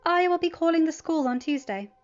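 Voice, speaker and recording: A woman's voice: very high-pitched, lively intonation, brisk, voice pushed up. Formidable loving mom, voiced by a female reader, earnest and resolute, lo-fi, music behind. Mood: firm, indignant. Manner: firm, earnest, emphatic. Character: formidable, loving, fiercely protective mother.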